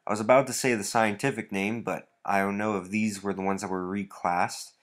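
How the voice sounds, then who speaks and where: A man with a somewhat low voice talks through a stuffy nose. He rambles casually as he walks through his breeding rack, filling pauses with lots of "uh" and "um.". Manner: relaxed, rambling, many fillers. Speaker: reptile breeder. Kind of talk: casual walkthrough vlog.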